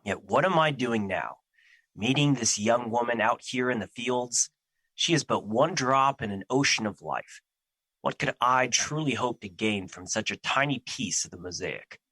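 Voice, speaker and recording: A man's voice: medium pitch, animated, normal speed, pushed and bright, slightly rough, dry recording, heavily acted, poor audio. A man acting in an audio drama as a grave, brooding personified emotion who guards life, speaking in formal theatrical diction.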